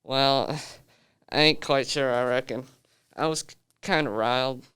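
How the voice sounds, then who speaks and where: Woman playing a low-voiced folksy farmer. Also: a bit bright, smooth. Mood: folksy, rattled. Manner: drawling rustic speech, unhurried and plain-spoken. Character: folksy rattled farm owner, rustic old-time man. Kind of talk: radio play acting.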